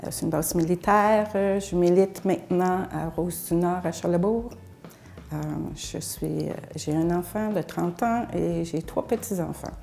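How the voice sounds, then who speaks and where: An older woman whose first language is English, from Alberta, giving an earnest, halting personal testimony as a feminist activist. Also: fairly low pitch, vocal fry, dark tone. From Alberta, Canada. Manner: earnest, halting with frequent pauses.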